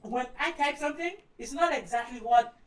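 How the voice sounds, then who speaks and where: High-pitched man in a roomy echo. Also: brisk, far from the mic. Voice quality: high-pitched voice that jumps higher when excited. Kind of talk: casual podcast chat.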